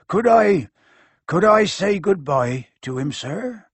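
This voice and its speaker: A man voicing a huge, shaggy, softhearted male giant who speaks slowly in a tearful, muffled, rough way. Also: high-pitched, lively intonation, no room sound, pitched above the performer's own voice, exaggerated voice. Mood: sobbing.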